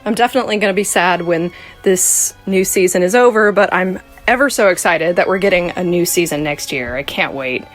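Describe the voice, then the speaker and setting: A woman's voice: on the low side, a little fast, soft background music, low-quality recording. A woman giving earnest, appreciative spoken commentary as a podcast listener sending in feedback.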